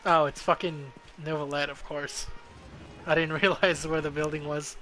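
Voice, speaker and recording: A man's voice: a little high, level tone, normal speed, sharp tone. A man giving casual, sarcastic and profane commentary as he streams a video game.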